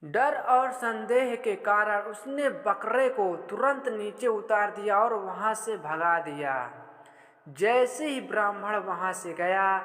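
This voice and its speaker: Male storyteller for kids' fable, high register, unhurried delivery. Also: fairly bright tone. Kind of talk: scripted children's story narration in Hindi. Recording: long hall-like reverb.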